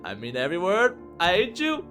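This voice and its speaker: A man acts out an angry, tormented young game protagonist with a sharp, forceful voice. The manner is dramatic and strained, defiant yet full of dread. Faint music runs underneath. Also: lowish voice, steady tone, normal speed, natural voice. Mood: furious, anguished. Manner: dramatic, strained outbursts and bitter muttering.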